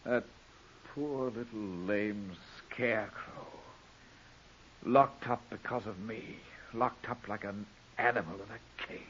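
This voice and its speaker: An actor playing a guilt-ridden, weak Englishman with halting, emotional delivery in a 1940s radio drama. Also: on the low side, fairly even intonation, a bit bright. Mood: anguished. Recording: dull, hissy 1940s radio recording.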